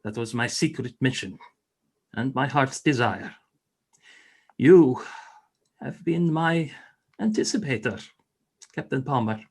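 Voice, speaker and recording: A grand, proud Russian captain, acted by a man in a radio play. He orates with theatrical ceremony and very animated intonation at a middling pitch. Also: slow, long pauses, breathy edge, heavily acted. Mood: solemn, admiring. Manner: formal, theatrical oratory. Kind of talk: amateur radio play acting in the style of 1940s radio.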